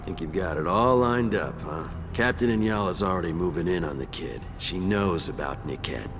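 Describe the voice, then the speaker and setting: A menacing mercenary squad leader from a sci-fi game, with a deep voice. He taunts and threatens like a cocky tough guy, over clearly audible music. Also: moderate pace. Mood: smug menace. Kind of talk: video game voice acting.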